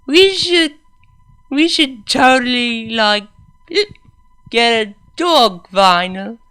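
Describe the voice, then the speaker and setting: A woman voicing a very drunk, giggly female pony who rambles in slurred, breathless, drawn-out words for a story reading. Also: a little high, a bit bright, clean tone, pitched above the performer's own voice. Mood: giddy, slurring.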